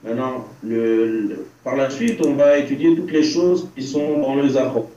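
A man's voice: medium pitch, moderate pace, mellow. A man, a rabbi giving an informal online religion lesson, hesitant, repeating himself and checking he is understood. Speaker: rabbi teaching a religious class. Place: remote video call. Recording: dull, roomy, distant online-call sound.

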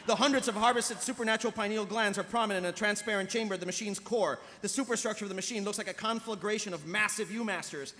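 A man's voice: sits high, sharp tone. A man reading stage directions as narrator of a live table read. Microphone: podium microphone. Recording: reverberant hall PA, audience noise.

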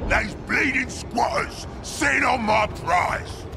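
An actor playing a brash, greedy ork warboss in a video game, with a growling Cockney voice and blunt, boisterous slang. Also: male voice, extremely high pitch, animated, slow pace, raised voice, background noise, exaggerated voice. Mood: commanding, aggressive. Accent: Cockney English. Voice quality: gravelly, growling.

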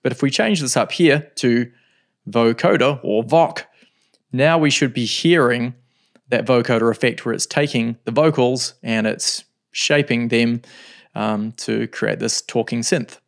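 A middle-aged man teaching a music software tutorial, explaining step by step in a relaxed way with thinking pauses, recorded at a desk in a home studio. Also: medium pitch, vocal fry.

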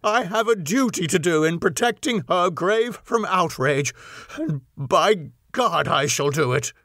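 A man voicing an anguished young English lord in an audiobook, proud, indignant and high-strung. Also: animated, measured pace, pushed and bright, no echo, studio quality, heavily acted. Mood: outraged.

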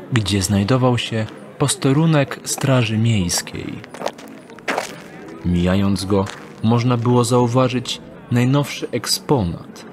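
A man narrating a radio drama in a calm, measured storytelling manner. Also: medium pitch, noisy background. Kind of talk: scripted audio drama narration. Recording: narration over music score.

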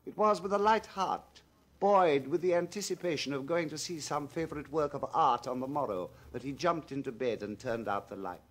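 A man narrating a literary novel in a measured, expressive reading that swings between loud and hushed passages. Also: fairly high pitch. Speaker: audiobook narrator.

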